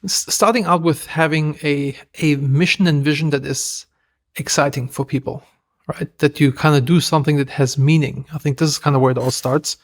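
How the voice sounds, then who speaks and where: A man with a German accent, a podcast guest telling stories in a lively, self-deprecating way. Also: fairly high pitch, moderate pace. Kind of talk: casual interview talk.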